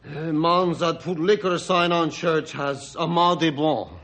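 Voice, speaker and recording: An actor playing a hearty, tough French-Canadian lumberjack boss in a radio drama, speaking broken English with a thick accent. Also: male voice, on the high side, steady tone, unhurried, fairly bright tone. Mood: indignant. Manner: broken English, refers to himself by name. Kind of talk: old-time radio drama acting.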